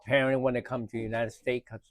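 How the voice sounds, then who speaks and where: A middle-aged man from Uruguay with a Spanish accent, answering interview questions in loose, casual English, often pausing with "uh". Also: mid-range voice, flat delivery. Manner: plain, loose English grammar, frequent uh. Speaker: restaurant co-owner. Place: restaurant.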